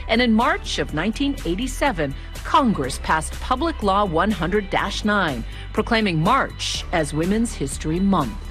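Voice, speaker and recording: Brief: woman TV reporter, scripted narration, lively intonation, faint music under the voice. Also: mid-range voice. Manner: polished, expressive reading. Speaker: news reporter.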